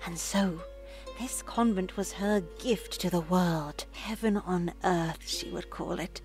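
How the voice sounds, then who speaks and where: Lady doing a kindly pious older nun for a visual novel, hushed n breathy, really slow, bit low, soft music behind. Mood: solemn, reverent. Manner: measured, hushed, breathy delivery. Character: gentle, pious older nun, solemn and reassuring. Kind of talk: visual novel dialogue read aloud.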